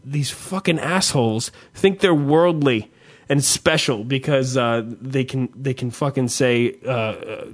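A man's voice: mid-range voice, measured pace. A man chatting casually, swearing freely and ranting, his voice climbing when he gets worked up. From New Jersey. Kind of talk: casual podcast chat.

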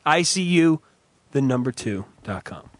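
A man hosting a crude comedy podcast, raspy from a recent cough, cursing and rambling through stories. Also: medium pitch, big pitch swings, measured pace, no echo. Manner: profane, rambling storyteller. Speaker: podcast host.

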